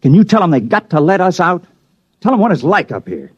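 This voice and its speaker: An actor playing a tough, blunt camp guard, gruff but earnest, in a 1950s radio drama. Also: male voice, sits high, big pitch swings, fairly quick, no echo, full character voice. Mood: urgent, pleading. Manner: gruff, blunt, plain-spoken. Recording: dry, dull old radio recording.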